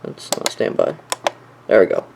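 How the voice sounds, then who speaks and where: A young man giving a casual, offhand showcase of his collection, halting and often trailing off. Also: a little hiss or hum, rough recording. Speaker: collector showing weather radios. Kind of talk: casual product showcase vlog.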